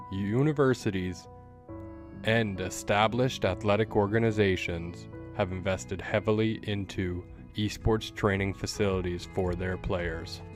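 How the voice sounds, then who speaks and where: A man delivering scripted documentary narration. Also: lowish voice. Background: music.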